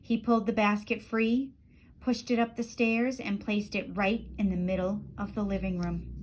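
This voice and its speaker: A woman reading a children's storybook aloud in an expressive storytelling style, letting phrase endings drop softly. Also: mid-range voice, normal speed, slight background noise, poor audio.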